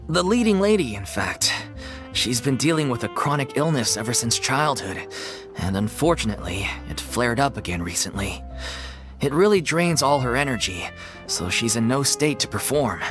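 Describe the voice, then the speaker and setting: Guy voicing an earnest worried theater troupe leader, voice goes up and down a lot, soft music behind. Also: mid-range voice, lightly acted. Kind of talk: video game voice acting.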